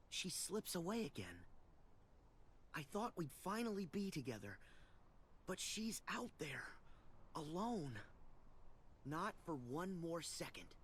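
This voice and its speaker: Earnest young hero, lively and unhurried. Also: male voice, fairly high pitch, slight background noise. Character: earnest, determined teenage boy hero, sad but resolute. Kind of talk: video game cutscene acting.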